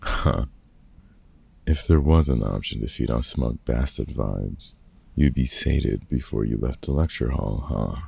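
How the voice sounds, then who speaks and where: Gruff veteran monster hunter with a soft heart, played by a man, audio roleplay; very husky, unhurried, sweary mentor. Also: a bass voice, exaggerated voice. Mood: warm, wry. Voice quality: gravelly, husky. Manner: gruff, sweary, casual mentor talk with pauses. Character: gruff, kind-hearted veteran male vampire hunter and woodworker. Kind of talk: comfort ASMR audio roleplay.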